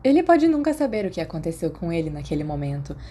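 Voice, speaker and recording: A woman's voice: lowish voice, big pitch swings, fast, mellow, a little hiss or hum. A Brazilian woman speaking as the narrator of a fan fiction podcast. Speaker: podfic narrator. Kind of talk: expressive audiobook narration and casual chat to listeners.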